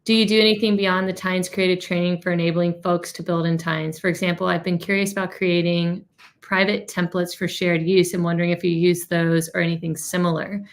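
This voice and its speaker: A woman with a slightly low voice moderates a webinar. She passes questions along casually, with an upbeat and encouraging informality. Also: little pitch movement, a little fast.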